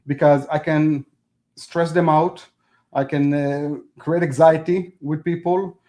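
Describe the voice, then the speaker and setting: A man whose first language is Hebrew, a guest talking casually in an interview, halting and restarting as he speaks in non-native phrasing. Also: on the high side, fairly even intonation, mellow. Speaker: podcast guest.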